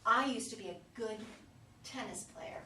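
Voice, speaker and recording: Woman playing forgetful elderly mother, echoey, distant. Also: on the high side. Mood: wistful reminiscing. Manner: halting, questioning, forgetful. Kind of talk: staged play reading. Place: echoey room at a live play reading. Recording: distant, echoey room with loud noise.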